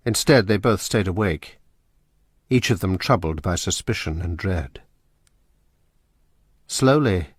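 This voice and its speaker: A man narrating a radio drama in a measured, grave manner. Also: on the low side, husky, no echo, studio quality. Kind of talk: scripted narration.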